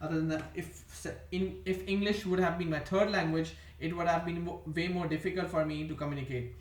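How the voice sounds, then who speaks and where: High-voiced Indian man rambling in an echoey room. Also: fairly even intonation, brisk, recorded from a distance. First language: Indian languages. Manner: rambling, meandering, restarts and corrects himself often. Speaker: vlogger. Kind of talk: rambling monologue to camera.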